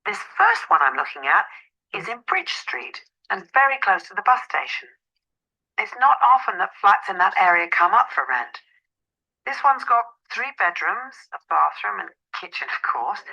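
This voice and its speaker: A woman's voice: mid-range voice, big pitch swings, moderate pace, vocal fry, bright, forceful tone, husky, muffled recording, exaggerated voice. A woman playing a polite, helpful estate agent in an acted phone dialogue, businesslike and courteous.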